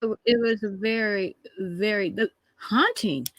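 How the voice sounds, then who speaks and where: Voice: mid-range voice, measured pace, a bit bright, rough recording. A co-host giving conversational reaction commentary, speaking emphatically and repeating phrases for weight.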